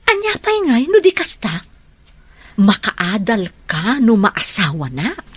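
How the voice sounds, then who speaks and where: A woman's voice: mid-range voice, huge pitch swings, slow pace, fairly bright tone, breathy edge, no echo, heavily acted. A woman playing a flirtatious, money-minded mother in a radio drama, exclamatory, with an Ilocano accent. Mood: scheming. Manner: exclamatory, coquettish.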